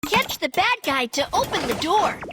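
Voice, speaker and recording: A woman's voice: very high voice, big pitch swings, normal speed, background noise. A woman voicing a small, eager, childlike animal police helper in a children's cartoon, speaking in simple exclamatory phrases. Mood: eager, urgent. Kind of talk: scripted children's cartoon dialogue.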